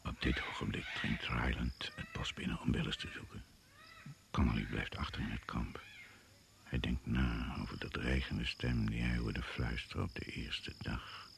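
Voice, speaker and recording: A man narrating a radio drama gravely and ominously, in deliberate, incantatory short phrases, on an old recording. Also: rumbling low, wildly swooping pitch, fairly bright tone, a little hiss or hum, exaggerated voice. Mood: ominous, measured. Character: grave, ominous male narrator of a jungle tale.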